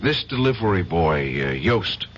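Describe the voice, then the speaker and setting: A man's voice: deep voice, big pitch swings, measured pace, breathy edge, full character voice. A man playing an earnest, grave lawyer with an insistent, pleading manner in a radio mystery drama. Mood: grave, probing. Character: earnest, guilt-ridden male lawyer pressing for truth. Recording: dull, faintly noisy old radio recording.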